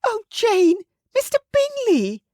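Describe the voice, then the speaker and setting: A woman voicing an excitable middle-aged mother who shrieks and gushes in a shrill voice. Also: takes it slowly, no echo, studio quality, heavily acted. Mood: shrieking. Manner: shrill, gushing.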